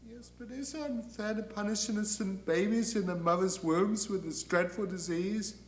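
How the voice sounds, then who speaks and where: A man's voice: high voice, steady tone, normal speed, close to an everyday voice. A man voicing a meek, polite male angel who questions hesitantly and mildly, in a satirical stage monologue. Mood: meek, doubtful. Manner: hesitant, mild, deferential questioning. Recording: echoey room.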